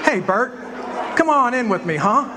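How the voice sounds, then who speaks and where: A man's voice: very high voice, normal speed, a loud crowd, heavy background noise. A man acting in a live community radio play, voicing an overjoyed, breathless husband and father. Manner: excited, breathless joy. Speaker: actor playing George Bailey.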